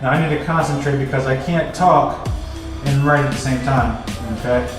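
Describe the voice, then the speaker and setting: A man's voice: mid-range voice, fairly even intonation, normal speed, reverberant, loud music behind the voice. A young man with a stuffy voice from a cold, energetically teaching at a whiteboard and checking in with "okay?" as he goes. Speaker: business coach. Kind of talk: whiteboard tutorial. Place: bright office by a whiteboard.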